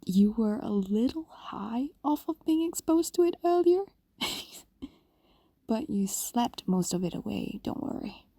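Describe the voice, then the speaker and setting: A woman voicing an ancient, lovestruck female fox demon in an intimate audio roleplay, whispering softly and coaxingly with lingering pauses. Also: mid-range voice, dry recording, heavily acted. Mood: soothing, adoring. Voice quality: soft, breathy whisper. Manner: intimate, slow, pausing, coaxing. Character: possessive, lovestruck female fox demon, centuries old, tender. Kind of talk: ASMR-like fantasy audio roleplay.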